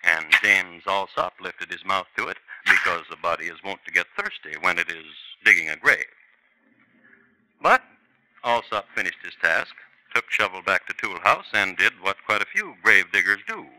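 A man's voice: deep voice, lively intonation, moderate pace, sharp tone, dry recording, low-quality recording. A man narrating a 1950s radio crime drama in a wry, theatrical storytelling manner. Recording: old radio broadcast with music.

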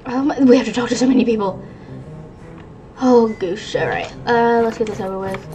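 A woman's voice: a little high, normal speed, warm tone, highs cut off. A woman giving casual gameplay commentary as a gaming YouTuber. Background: game music.

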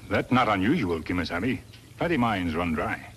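An actor playing a stoic, loyal western sidekick in a 1950s radio drama, in clipped, stilted broken English. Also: male voice, sits low, very animated, a little fast, fairly bright tone. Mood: stoic, attentive. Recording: noisy vintage 1950s radio recording.

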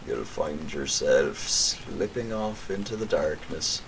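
An actor playing a sinister criminal mastermind in an audio drama, taunting a captive in formal, theatrical language. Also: male voice, a bass voice, expressive, slow, creaky, a little hiss or hum, exaggerated voice, low-quality recording. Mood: coldly taunting.

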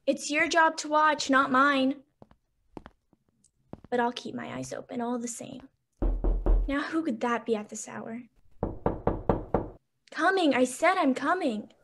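Woman acting a blunt, high-voiced servant, noisy recording. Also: slow pace, vocal fry, long pauses, exaggerated voice. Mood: grumbling. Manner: blunt, colloquial servant's speech. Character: gruff, grumbling maid at a sanitarium. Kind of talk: radio play acting.

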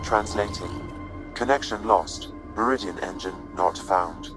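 Calm deep game ai, very formal and deadpan, music playing behind. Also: male voice, unhurried. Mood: calm, clinical. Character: calm male artificial intelligence companion, precise and unemotional. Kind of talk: video game cutscene acting.

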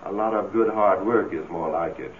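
An actor playing the amorous, coaxing ghost of a philandering husband in a radio drama, pleading and smooth-talking. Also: male voice, low-pitched, moderate pace, close to an everyday voice. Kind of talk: old radio drama acting. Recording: tinny, hissy old radio recording.

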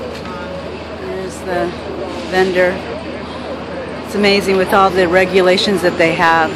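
Woman vlogger, slow easygoing street commentary, handheld camera, heavy traffic noise behind. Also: fairly low pitch, flat delivery. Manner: chatty, laughs often, slow unhurried commentary. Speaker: vlogger walking the city. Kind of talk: casual walking vlog narration. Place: outdoors on a busy city sidewalk.

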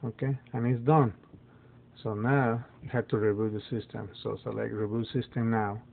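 A man whose first language is Spanish walks through a phone reset step by step, halting often with fillers and restarts. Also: fairly low pitch, level tone, creaky. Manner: halting, many fillers and restarts, non-native phrasing. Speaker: phone tutorial presenter. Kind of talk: casual how-to walkthrough. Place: dim indoor room, phone filmed in hand. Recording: tinny phone-like audio.

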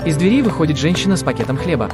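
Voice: mid-range voice, big pitch swings, rapid-fire. A narrator reading scripted audio description for a cartoon. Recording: music under the voice. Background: prominent soundtrack music.

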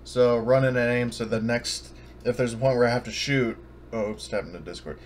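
A man's voice: medium pitch, fairly even intonation, moderate pace. Casual man thinking aloud mid-game. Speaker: player in a tabletop game. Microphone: online voice chat. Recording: background noise on voice chat.

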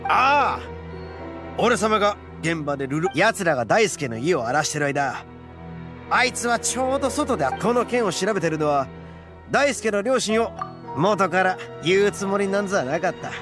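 A proud young oni gang leader from an anime game, speaking in rough, blunt slang with a swagger. His voice sits a little high for a man, and music plays underneath. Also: lively intonation, moderate pace. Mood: resolute. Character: brash, proud young male horned oni gang boss.

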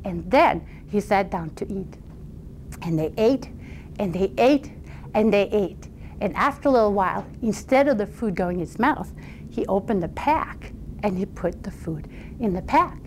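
A woman storyteller telling a fairy tale aloud in an informal, conversational and animated way. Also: mid-range voice, moderate pace, a little hiss or hum, poor audio.

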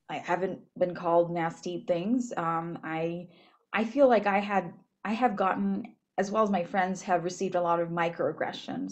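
Woman, 20s, from Japan; reflective panel talk over a laptop mic in a small room, slightly low voice, hesitant with frequent fillers. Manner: thoughtful, hesitant, many fillers and restarts. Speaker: panel speaker, graduate student. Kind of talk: reflective personal talk on a video call. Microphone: laptop webcam, video call.

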